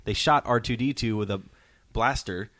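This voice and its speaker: A middle-aged man hosts a casual podcast chat. His voice sits on the low side, and the sound is very dry, with no room to it. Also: moderate pace, a bit bright, no room sound. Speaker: podcast host.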